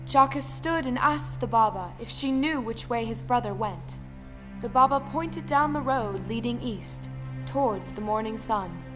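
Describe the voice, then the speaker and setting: A woman's voice: a little high, expressive, measured pace, music in the background. A woman narrating a folktale audio play as a storyteller. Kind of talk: dramatic scripted storytelling narration.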